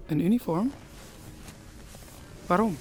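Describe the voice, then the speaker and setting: An actor playing an earnest, defiant young son in an audio drama, speaking deliberately. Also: male voice, high voice, noisy background. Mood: surprised. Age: young adult.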